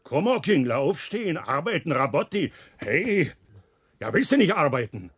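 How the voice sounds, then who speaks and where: A man's voice: fairly high pitch, big pitch swings, moderate pace, dry recording. An actor playing a coarse but kindly foreman in a radio drama, speaking folksy Silesian German dialect. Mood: gruff, goading. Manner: folksy, gruff dialect talk.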